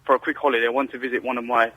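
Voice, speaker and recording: A man's voice: medium pitch, flat delivery, racing, husky. A man calling in to a radio phone-in, telling a long story with many hesitations and restarts. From Britain. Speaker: caller. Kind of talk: phone-in call. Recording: phone line.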